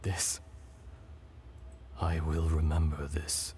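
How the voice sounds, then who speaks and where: Slow, deep, solemn ancient dragon. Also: male voice, completely flat delivery, a little mellow, slight background noise. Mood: wistful. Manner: measured, grave, poetic. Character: ancient dragon in a man's body, solemn, wistful. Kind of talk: video game voice acting.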